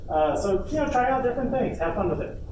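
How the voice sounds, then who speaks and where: A man presents an informal workshop in a casual, somewhat hesitant way with playful asides. His mid-pitched voice is picked up from a distance in an echoing room, with clear background noise. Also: expressive, fast talker. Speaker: workshop presenter on a convention panel. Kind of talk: informal instructional presentation to an audience. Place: convention panel room. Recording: noisy, echoey room, distant mic.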